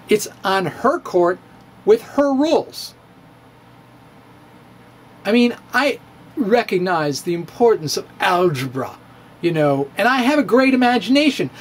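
A man's voice: high-pitched, slight background noise. A middle-aged man giving a playful, theatrical reading of his own physics blog, recorded at home on a close studio microphone. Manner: playful, theatrical, with chuckles and asides. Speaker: physics blogger. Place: home study with bookshelves, indoors. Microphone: studio microphone on stand, close.

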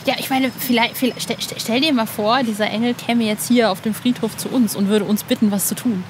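A woman's voice: on the high side, steady tone, fairly quick, a little hiss or hum. A woman hosting a podcast, asking questions in a casual, conversational interview style. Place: outdoors in a cemetery.

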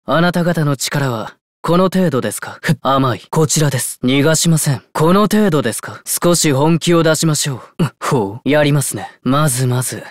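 An actor playing a young god of justice in a game, a loyal, formal and condescending warrior. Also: male voice, fairly high pitch, expressive, normal speed, no room sound, studio quality, close to an everyday voice. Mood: cool, disdainful. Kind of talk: Japanese video game battle lines.

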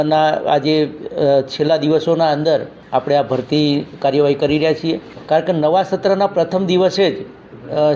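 A man's voice: on the high side, dark tone. A man speaking as an education official, giving an informational statement in repetitive, official phrasing. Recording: dull, lo-fi with faint background noise.

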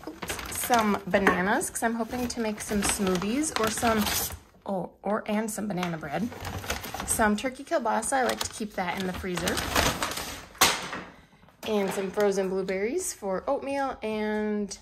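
A woman talks through her grocery haul in a relaxed, chatty way, with a gravelly, fry-tinged voice. It is a noisy home kitchen recording, with paper bags rustling and items clicking on the counter. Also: medium pitch, a little slow. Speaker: cooking vlogger. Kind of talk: casual grocery haul chat. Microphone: handheld camera pointed at the counter, speaker off screen.

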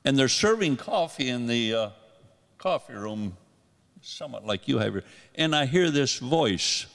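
A man's voice: medium pitch, lively intonation, unhurried, creaky, a bit bright. An older man telling rambling, self-deprecating stories to a church congregation on a handheld mic. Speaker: guest singer sharing a testimony. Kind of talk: casual storytelling to a congregation. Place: church sanctuary. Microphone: handheld microphone. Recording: long hall reverb.

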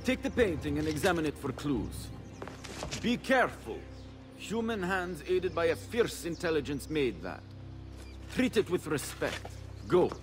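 A man's voice: fairly high pitch, big pitch swings, a little slow, music in the background. An actor playing a stern, learned man in a video game, speaking in a formal, lecturing way. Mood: commanding. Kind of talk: video game cutscene acting.

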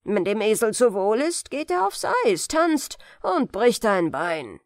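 A woman's voice: medium pitch, sing-song, measured pace, no echo, studio quality. A woman voicing a callous, scornful father in a fairy tale, speaking emphatically. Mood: scornful indifference. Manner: scornful, proverb-laden, emphatic. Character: callous, gruff father dismissing his wandering sons.

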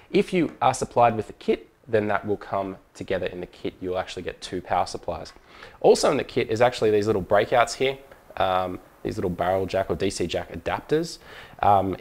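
A man presenting a hands-on product tutorial in an informal, methodical, step-by-step way. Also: fairly low pitch.

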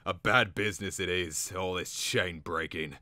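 A scornful, sweaty pet trader from a storybook, played by a male narrator. He grumbles in a wheezy, gruff male voice, bitter and loud. The recording is dry and close. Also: deep voice, measured pace, dry recording, exaggerated voice.

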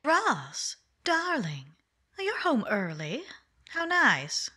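A woman's voice: sits high, wildly swooping pitch, drawn-out words, background noise, full character voice. A woman playing a cool, scheming wife in an old-time radio mystery, coaxing and purring endearments. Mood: silky coaxing. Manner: purring, calls him darling. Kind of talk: 1940s radio acting.

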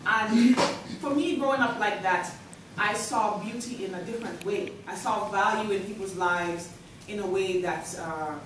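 A woman, giving a hesitant, soft-spoken artist's talk at a lectern in a gallery, with many ums and uhs. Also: mid-range voice, a little slow, background noise. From Jamaica. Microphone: podium mic recorded by a far camera. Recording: echoey gallery from far off.

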